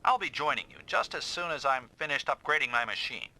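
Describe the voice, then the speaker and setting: An actor playing an authoritative but protective squad commander in an anime-style video game, giving clipped orders. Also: male voice, mid-range voice, animated, fast, pushed and bright, poor audio. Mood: businesslike.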